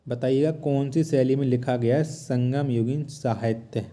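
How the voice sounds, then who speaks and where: A man's voice: medium pitch, steady tone, normal speed, dark tone. A man teaching a lesson, reading out quiz questions, asking them again and restating each answer. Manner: repetitive, asks then restates answers. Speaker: exam-prep teacher.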